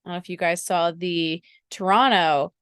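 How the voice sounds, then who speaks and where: A woman's voice: on the low side, steady tone, moderate pace, a bit bright, clean tone, no room sound, clean studio sound. A young woman co-hosting a sports podcast, chatty and enthusiastic with playful teasing. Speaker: podcast co-host. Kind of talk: casual sports podcast chat.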